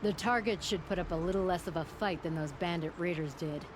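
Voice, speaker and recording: A low-pitched actress as a proud forgewoman in a video game, confident and flirtatious. She talks in a wry, teasing way, with clear ambient noise behind her. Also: brisk, close to an everyday voice. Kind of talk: video game dialogue acting.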